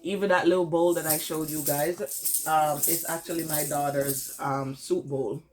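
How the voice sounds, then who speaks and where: A young woman with a cold, congested and tired, chatting to her camera at home in a casual vlog update, halting with lots of fillers. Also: steady tone, a little mellow, faint music behind. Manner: low-energy, tired, halting with many fillers. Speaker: vlogger.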